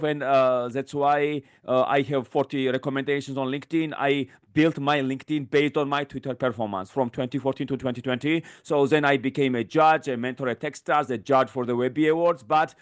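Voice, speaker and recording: This is a man in his thirties whose first language is Georgian, answering interview questions in English. His voice is smooth and resonant at an ordinary pitch. He speaks readily, though often broken by fillers and restarts. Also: fairly even intonation. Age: 30s. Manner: fluent but halting, many fillers and restarts. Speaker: guest. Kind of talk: podcast interview.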